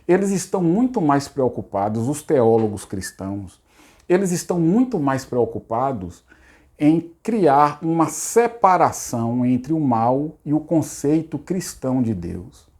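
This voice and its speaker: Middle-aged man, hoarse and mellow, rambling psychologist host, rhetorical. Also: a little high, big pitch swings, unhurried. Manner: repetitive, rhetorical, casual asides. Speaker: psychologist hosting a channel. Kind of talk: unscripted spiritual self-help talk.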